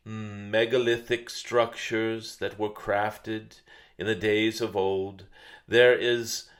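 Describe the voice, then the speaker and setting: A man voicing a wise, benevolent ancient dragon spirit in a solemn spiritual message, with measured delivery, pauses and thoughtful hums. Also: fairly low pitch, a bit bright.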